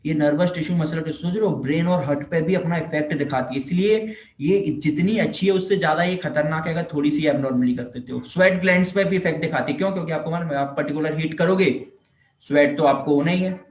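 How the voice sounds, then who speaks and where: The voice is an Indian man's, pitched a little high, with clear room echo around it. He lectures informally like a teacher, circling back over his points. He often poses a short question and then answers it himself. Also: fast talker. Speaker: physiotherapy teacher. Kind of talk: informal explanatory lecture in Hindi mixed with English terms. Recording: echoey room.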